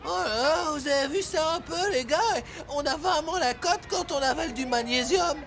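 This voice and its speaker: A woman's voice: high voice, moderate pace, lightly acted. A woman voicing a drawling, wisecracking schoolboy in a French cartoon. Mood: amazed, joking. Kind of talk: French animated cartoon voice acting. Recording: music behind the voice.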